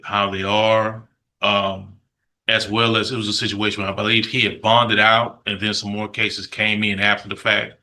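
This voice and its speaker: A man addressing a court as a lawyer. His voice is low-ish and very bright, with little melody, and his explanations come out haltingly. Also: low-quality recording. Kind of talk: informal explanation to a judge.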